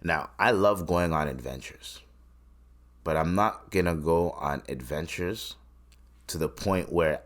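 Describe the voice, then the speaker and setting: A man's voice: deep voice, unhurried. A man from Tanzania hosting a solo podcast, rambling and swearing between his points. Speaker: podcast host. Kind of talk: casual solo podcast commentary.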